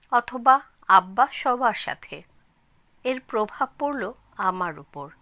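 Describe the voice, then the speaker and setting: Bright-voiced woman narrating slowly. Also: mid-range voice, no room sound. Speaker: channel storyteller. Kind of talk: scripted story narration.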